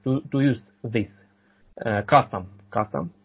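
A man, answering interview questions haltingly and repeating words and phrases. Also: mid-range voice, gravelly, breathy edge, narrow, tinny audio. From Ukraine. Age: 40s. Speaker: podcast guest.

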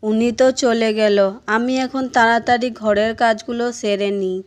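Caring village wife voiced by a woman, high voice, homely cartoon character. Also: steady tone, moderate pace, heavy background noise, natural voice. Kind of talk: amateur Bengali cartoon dubbing.

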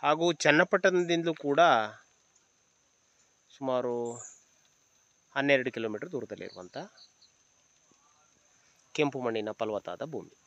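A man narrating a property sales video, phrase by phrase with measured pauses. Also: medium pitch, dry recording, dull audio. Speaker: property agent presenting a farm for sale.

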